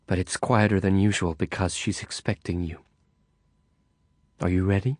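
A man voicing a blunt, cynical young woman who talks tersely and in hushed tones in an audiobook reading. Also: sits low, steady tone, moderate pace, dry recording, studio quality.

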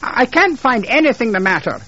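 A man's voice: extremely high pitch, very animated, normal speed, bright, forceful tone, highs cut off, pitched above the performer's own voice, heavily acted. A man voicing a skeptical, dismissive wife and mother with a condescending, sighing manner in a narrated story. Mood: anxious, exasperated. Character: skeptical, patient, dismissive middle-class English wife and mother.

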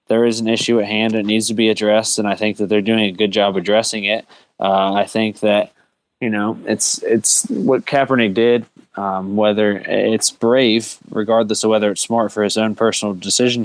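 A man's voice: lowish voice, no room sound, rough recording. A man on a sports talk panel giving earnest, opinionated takes in casual discussion.

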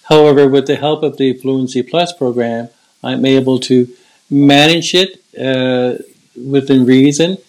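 A man's voice: medium pitch, steady tone, measured pace. Older man who stutters, earnest and reflective. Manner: stutters, with repeated words and restarts. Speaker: guest. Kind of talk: casual conversation.

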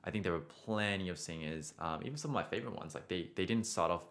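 A man's voice: sits low, fast talker, gravelly, a bit bright. A young man teaching singing on a live stream, answering viewer questions casually and often adding "right?". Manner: casual, encouraging, frequent "right?" tags.

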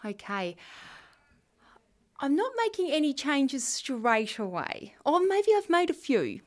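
A woman's voice: sits high, very animated, slow pace. An Australian woman in her forties, a school leader answering students' interview questions in an earnest, chatty way. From Western Australia. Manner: warm, earnest, chatty with fillers. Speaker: school head of junior years.

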